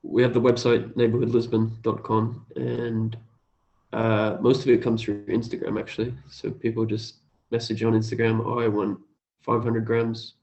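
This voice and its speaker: Flat, creaky man, dull audio. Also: fairly low pitch, normal speed. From Australia. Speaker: interview guest, cafe owner. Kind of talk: casual, low-key chat and plain reading aloud.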